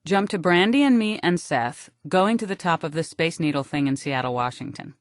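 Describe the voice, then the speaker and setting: A woman with an American accent reads a literary first-person audiobook in a wry, deadpan manner, close on the microphone. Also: sits low, moderate pace, dry recording. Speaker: audiobook narrator.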